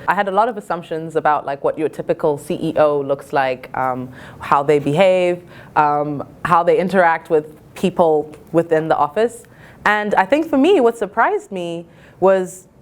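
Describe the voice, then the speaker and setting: Casting: female college student, early twenties, low register, earnest and reflective delivery. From Zimbabwe. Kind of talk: reflective interview-style talk.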